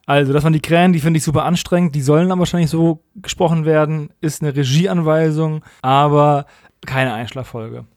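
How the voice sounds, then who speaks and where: A man's voice: fairly high pitch, steady tone, fairly quick, darkish tone, dry recording, studio quality. A man co-hosting a podcast, recapping stories in casual, wry, colloquial talk. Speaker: podcast co-host. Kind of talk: casual chat, recapping an audio drama.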